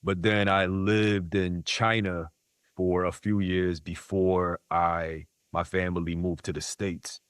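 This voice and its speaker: A man's voice: deep voice, level tone, takes it slowly, clear, resonant tone, no room sound, studio quality. A man chatting casually as an interview guest, slangy and often checking that the listener follows. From born in South Korea, raised in Queens, New York. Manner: slangy, frequent "like" and "you know what I mean". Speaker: podcast guest, content creator.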